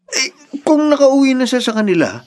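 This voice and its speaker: A man's voice: extremely high pitch, sing-song, moderate pace, a little mellow. A grown Filipino son in a radio serial. His voice rises and falls widely as he reluctantly placates, sheepish and embarrassed. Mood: placating, uneasy. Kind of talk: Filipino radio drama acting.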